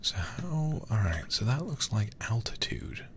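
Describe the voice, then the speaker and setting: A man livestreaming a horror game in ASMR style, murmuring softly and often whispering, close to the microphone. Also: on the low side, big pitch swings, measured pace, a little hiss or hum.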